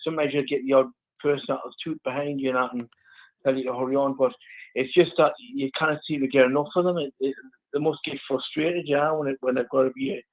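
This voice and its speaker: A man's voice: medium pitch, steady tone, fast talker. A man from the North East of England, calling in to a radio show and rambling casually. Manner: rambling, says "like" and "aye" a lot. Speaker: caller. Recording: phone line.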